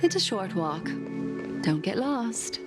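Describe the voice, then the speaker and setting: A woman playing a poised, enigmatic and courteous guide in a video game, speaking in a measured way. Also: mid-range voice, sing-song. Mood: gentle, wistful. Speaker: game character. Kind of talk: scripted video game dialogue. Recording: music behind the voice.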